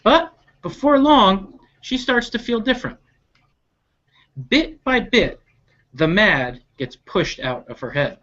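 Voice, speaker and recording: A storyteller reading a picture book aloud to children in a deliberate, expressive way, stretching out key words. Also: medium pitch, lo-fi sound. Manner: animated, deliberate, stretching key action words, with asides to listeners.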